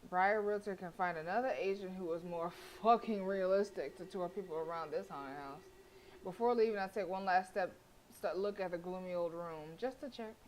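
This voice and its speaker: A woman's voice: fairly low pitch, normal speed, a little mellow, slight background noise, poor audio. A woman streamer reading a horror visual novel aloud, breaking off into joking, swearing asides. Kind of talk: reading game text aloud with asides to chat.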